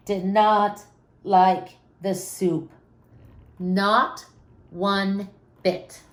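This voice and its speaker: A woman reading a children's story aloud expressively, with dramatic pauses and questions to her young listeners. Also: medium pitch, darkish tone. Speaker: storybook reader. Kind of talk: animated children's read-aloud with asides to listeners. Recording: roomy, echoing room sound.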